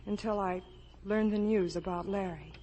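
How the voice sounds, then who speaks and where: An actress playing an earnest, wounded young woman with quiet firmness in a 1950s radio drama. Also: medium pitch, fairly even intonation, normal speed, close to an everyday voice. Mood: firm, hurt. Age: young adult. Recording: old radio broadcast, faint noise.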